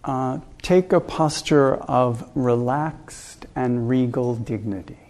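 A man teaches meditation in a very slow, relaxed voice with a gravelly creak at the edges. His instructions are calm and gentle, given in short phrases with room left between them. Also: mid-range voice. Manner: calm, gentle, unhurried instructions with long pauses.

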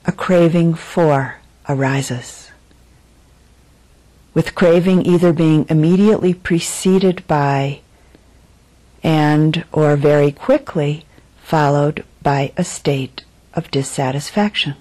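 A woman teaching meditation, speaking in measured, deliberate phrases with frequent pauses as she guides a reflection. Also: sits low, warm tone, poor audio. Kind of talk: guided meditation, dharma talk.

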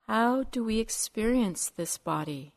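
A woman teaching a guided meditation in measured, short phrases separated by long pauses. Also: on the high side, expressive, gravelly.

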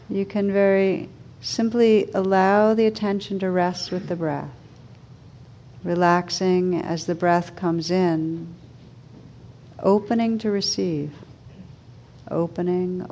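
A woman meditation teacher leading a guided meditation in a gentle, soothing manner, with unhurried pauses between short phrases. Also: lowish voice, muffled recording, a little hiss or hum.

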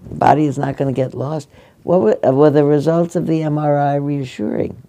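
Older woman, meditation teacher, mellow and gentle, halting delivery. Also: low voice, fairly even intonation. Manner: gentle, halting, restarts often. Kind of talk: dharma talk with audience questions. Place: meditation hall.

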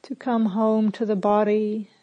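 A woman meditation teacher leading a guided meditation with gentle, unhurried instructions and pauses between phrases. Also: medium pitch, flat delivery, highs cut off.

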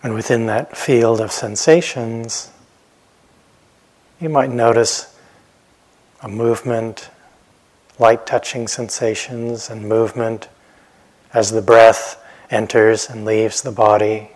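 A man with a low, husky voice leads a guided meditation. He speaks very slowly and gently, leaving long pauses between short phrases as a teacher would. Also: steady tone.

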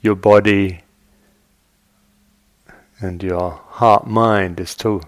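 A man guiding a meditation as a teacher, speaking gently and in measured short phrases with long pauses. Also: lowish voice, steady tone, no room sound. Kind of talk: guided meditation. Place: meditation retreat hall.

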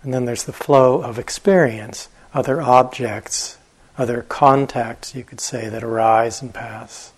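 A man leads a guided meditation as its teacher. His voice is husky and sits at a medium pitch. He speaks very slowly and gently, leaving long, unhurried pauses between short phrases. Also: fairly even intonation.

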